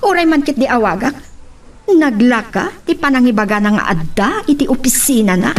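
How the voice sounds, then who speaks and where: A woman's voice: on the high side, animated, moderate pace, faint music behind, close to an everyday voice. A woman playing a probing madam boss in an Ilocano radio drama. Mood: suspicious.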